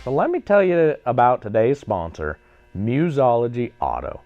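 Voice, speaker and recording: A hoarse man hosting a casual product review vlog, folksy and joking with tongue-in-cheek humor. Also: mid-range voice, wildly swooping pitch, normal speed, muffled recording, music in the background. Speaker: vlog host.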